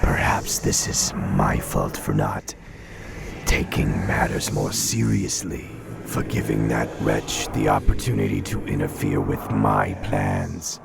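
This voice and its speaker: An actor playing an ancient, sophisticated demon overlord in an audio horror drama, with a gravelly growl and slow, formal, commanding speech. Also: male voice, extremely low pitch, sing-song, noisy background, heavily acted. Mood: cold, brooding.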